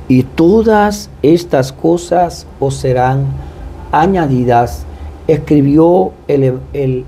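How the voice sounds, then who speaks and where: A man with a Puerto Rican Spanish accent, a pastor speaking as a guest in a devout, deliberate testimony style. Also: a little high, creaky, dark tone, slight background noise. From Puerto Rico. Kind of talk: personal testimony and prayer.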